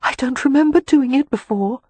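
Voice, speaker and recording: A woman voicing a stubborn, frightened young woman who speaks high, slow and breathless. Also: a little mellow, slightly rough, no echo.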